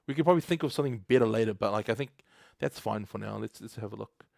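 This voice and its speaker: A man's voice: on the low side, fast, vocal fry, a little mellow, no echo, studio quality. Chatty New guy coding live. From New Zealand. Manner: chatty, laughs often, reads chat aloud. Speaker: livestream host. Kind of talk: casual live coding stream.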